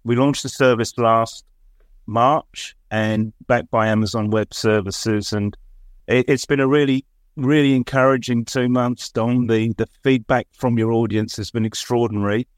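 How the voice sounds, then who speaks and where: A man speaking as an interview guest, presenting his organisation in a measured, halting and appreciative way. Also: medium pitch, fairly even intonation, no echo, studio quality. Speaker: interview guest, chief executive of a telehealth service. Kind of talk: spoken interview answer, presenting an initiative.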